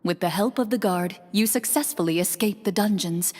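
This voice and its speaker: A woman performs a composed game narrator. The mid-pitched, husky voice is measured and descriptive, set in long reverb with music behind. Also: a little mellow, natural voice. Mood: atmospheric, calm. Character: composed female storyteller guiding fantasy quest.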